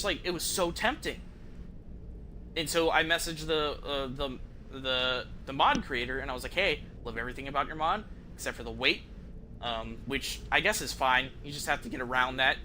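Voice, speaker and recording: Male game streamer, slightly high and very sharp, bright voice, casual sweary rambling, some background noise. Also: moderate pace. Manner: casual, profane, conversational. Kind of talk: casual gameplay commentary, rambling.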